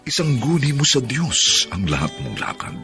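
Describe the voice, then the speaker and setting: A man's voice: mid-range voice, wildly swooping pitch, moderate pace, over music. A man with a Filipino accent narrating, reciting scripted verse in a solemn style.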